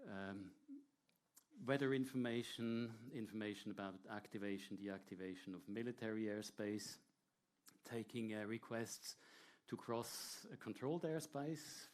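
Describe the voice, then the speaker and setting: A man presenting a technical talk to an audience in a measured, explanatory way, with short hesitant pauses. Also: lowish voice, a little mellow. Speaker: air traffic control presenter.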